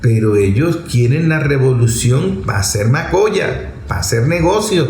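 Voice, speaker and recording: A man's voice: medium pitch, expressive, unhurried, a little mellow. A man with a Venezuelan accent giving an impassioned political monologue, emphatic and rhetorical, repeating phrases to drive points home. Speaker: political commentator. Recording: roomy echo, faint background noise.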